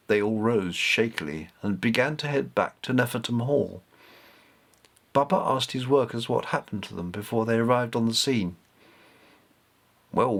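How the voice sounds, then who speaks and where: A man reading his own story aloud as scripted narration. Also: mid-range voice. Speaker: author reading own novelette.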